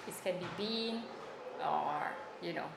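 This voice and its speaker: Slow, halting woman from Thailand. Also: fairly low pitch, creaky. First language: Thai. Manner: halting, many fillers, searching for words. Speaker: interviewee. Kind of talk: casual oral history interview. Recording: echoey room with noise.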